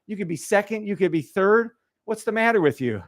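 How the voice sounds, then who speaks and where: A man voicing an exasperated movie father scolding his son, raising his pitch in incredulous disbelief. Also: lively intonation, fairly quick, no room sound, studio quality.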